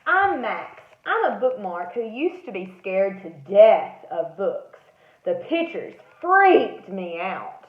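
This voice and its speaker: A woman's voice: very animated, slow pace. A woman voicing a once-terrified, plucky male bookmark in a theatrical, dramatic picture-book read-aloud. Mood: eager, confiding. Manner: theatrical, rising from hushed suspense to high cries. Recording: roomy echo, slightly dull lo-fi sound.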